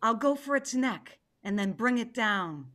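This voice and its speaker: An imperious tigress from a stage play, acted by a woman. The voice is fairly high and very animated, emphatic and impatient, as befits a proud hunter. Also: unhurried. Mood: commanding. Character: proud, impatient female tiger, a great hunter. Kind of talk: theatrical play reading.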